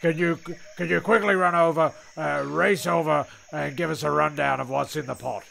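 A man's voice: on the high side, fairly even intonation, moderate pace, creaky, background noise. A man playing a flustered, exasperated narrator of a comic castaway audio serial, rambling and stumbling over his words.

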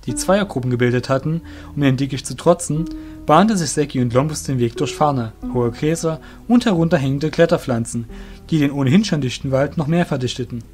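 A man's voice: on the high side, a little mellow. Guy narrator reading a fantasy audio play, music under him. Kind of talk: scripted fantasy story narration.

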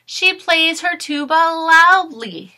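A woman in her thirties, expressive and instructive, speaking to children as a teacher does in a read-aloud lesson. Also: very high voice, slow pace, a little hiss or hum. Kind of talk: storybook read-aloud with grammar explanations. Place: small furnished room at home.

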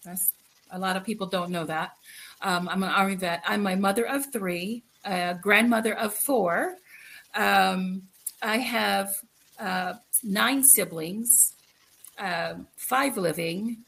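A middle-aged woman from the Philippines giving a candid, reflective personal account as an interview guest, halting and repeating phrases for emphasis. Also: mid-range voice, vocal fry, fairly bright tone. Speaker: guest, author. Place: indoor room, video call. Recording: noisy video call.

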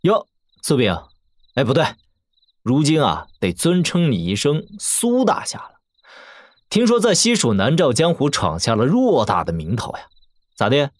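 A man's voice: medium pitch, wildly swooping pitch, measured pace, no room sound. A man playing a playful young prince in an audio drama, casually commanding and full of mock-polite banter. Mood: teasing.

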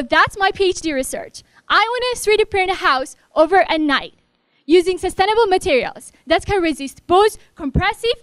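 A young Ethiopian woman with an Ethiopian accent, giving a storytelling research pitch into a handheld mic in a large hall. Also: fairly bright tone. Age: young adult. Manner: storytelling, vivid and direct. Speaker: graduate student pitching research. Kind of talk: memorized elevator pitch. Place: large hall with glass walls, indoors. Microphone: handheld microphone.

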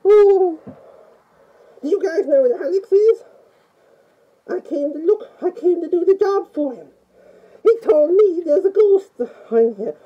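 A woman's voice: very high-pitched, expressive, unhurried, long pauses, muffled recording. A woman doing Luigi, jittery Italian plumber. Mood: startled. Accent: put-on Italian. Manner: nervous, rambling, frequent "oh" and "mamma mia". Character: jittery timid Italian plumber man, easily scared. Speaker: fan playing a video game character. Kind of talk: in-character game review.

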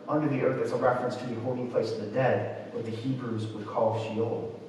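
A man in his twenties preaching a sermon from a church pulpit, reading and explaining scripture. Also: mid-range voice. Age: 20s. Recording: echoey church, distant mic, faint noise.

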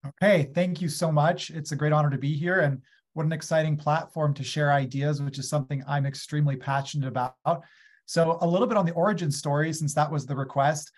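A man's voice: fairly high pitch, steady tone, brisk. A man in his thirties with an American accent, speaking as an invited guest on a webinar, enthusiastic and gesturing as he talks over a video call from a small room. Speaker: guest speaker, agriculture company founder. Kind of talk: conversational webinar talk. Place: small room at home, indoors. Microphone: webcam on a video call.

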